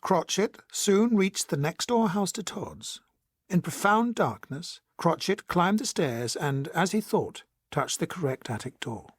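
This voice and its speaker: An actor playing a protective, reassuring gentleman and storyteller, gentle and measured, in a period audio drama. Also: high voice, big pitch swings, slight background noise. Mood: measured storytelling. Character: reassuring, protective gentleman who also narrates the tale.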